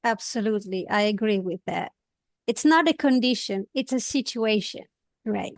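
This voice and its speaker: A podcast host from Italy with an Italian accent, speaking English as a second language in an earnest, gentle, measured way. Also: female voice, mid-range voice, expressive, no echo. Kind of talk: podcast interview.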